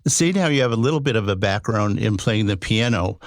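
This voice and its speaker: Low gravelly male podcast host. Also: expressive, brisk, no echo, studio quality. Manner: rambling, curious questions. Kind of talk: casual interview chat.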